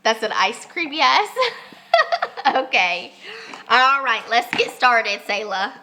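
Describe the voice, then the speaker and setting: A woman's voice: a little high, animated, slow pace, pushed and bright, a little hiss or hum, poor audio. A young woman, congested and losing her voice, chatting casually with many ums as she vlogs a homeschool lesson. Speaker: homeschooling mom. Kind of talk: casual home vlog lesson. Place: family home with children.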